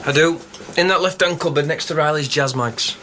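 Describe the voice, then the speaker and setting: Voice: mid-range voice, a little fast, lightly acted. An actor playing a helpful young pit worker, plain and matter-of-fact in a radio drama. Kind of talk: naturalistic radio drama acting. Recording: loud background noise.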